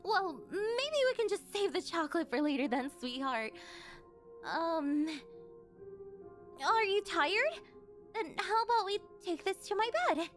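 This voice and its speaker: A high, very animated voice from a woman acting as a rich older yandere girlfriend in an ASMR roleplay. She is doting and possessive, coaxing her sweetheart in a sweet, slightly awkward ramble. Faint music plays behind her. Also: a little slow, long pauses, exaggerated voice. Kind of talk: scripted ASMR roleplay spoken to the listener.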